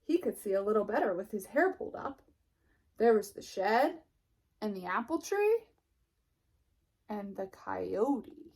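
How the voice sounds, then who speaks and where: A woman reading a children's picture book aloud as narrator, expressively and deliberately, with dramatic pauses. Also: mid-range voice, very animated, darkish tone, husky. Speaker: storybook reader.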